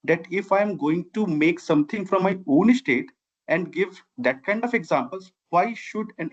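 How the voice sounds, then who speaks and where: A man's voice: on the high side, muffled recording. A man in his forties from Bihar in India, with a Bihari Indian accent and Maithili as his first language, giving long, earnest, winding interview answers. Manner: earnest, long winding sentences with repeated "I have". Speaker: guest, civil engineer and founder.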